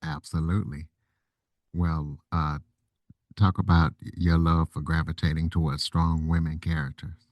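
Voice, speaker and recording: A man's voice: very deep voice, little pitch movement, unhurried. A man from Louisiana hosting a casual interview, asking short, plain questions. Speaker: podcast host.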